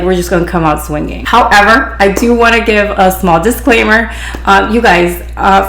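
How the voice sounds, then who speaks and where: A woman with a Hawaiian Pidgin-influenced accent tells a story on her podcast, rambling and restarting, with "you know" scattered through. Her voice sits at a middling pitch with a gravelly fry at the ends of phrases. The room around her has a noticeable echo. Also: a bit bright. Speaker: podcast host, beautician. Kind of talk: casual storytelling.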